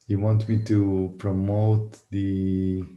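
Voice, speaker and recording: A man hosting an online event, presenting casually with halting, hesitant phrasing. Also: low voice, completely flat delivery, highs cut off. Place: indoor room, online video call. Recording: roomy, echoey sound.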